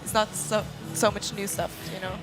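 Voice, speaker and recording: A woman's voice: mid-range voice, flat delivery, moderate pace, rough recording. A young woman from Norway with a Norwegian accent, chatting informally and a little hesitantly as a guest co-commentator. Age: young adult. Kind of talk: casual interview chat. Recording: music behind the voice. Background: music.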